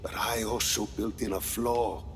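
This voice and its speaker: A man playing Lanza, a measured older scientist. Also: fairly low pitch, flat delivery, a little hiss or hum, lightly acted. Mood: calm. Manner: measured, precise, explanatory. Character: aging male weather-weapon scientist, calm and methodical. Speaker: weapons scientist. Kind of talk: video game cutscene acting.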